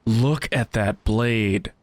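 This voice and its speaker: Slow-talking man reacting to a game. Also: lowish voice, dry recording. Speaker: gaming video host. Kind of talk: casual reaction commentary. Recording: faint game music behind the voice.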